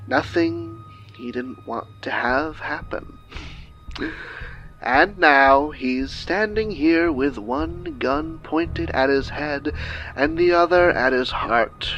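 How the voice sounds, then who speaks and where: A man plays a venomous audio drama villain with a creaky voice and slow delivery. He taunts and threatens, swinging his pitch theatrically. Music plays softly behind him. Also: lo-fi sound, heavily acted. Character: menacing, taunting male villain hostage-taker on the phone.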